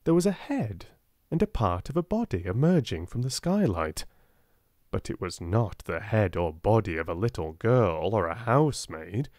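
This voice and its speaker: A British man narrates an audiobook softly and gently. His voice sits low and moves through wide, lively swings of pitch. The sound is close and dry. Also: moderate pace, no echo. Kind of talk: soft-spoken storybook read-aloud.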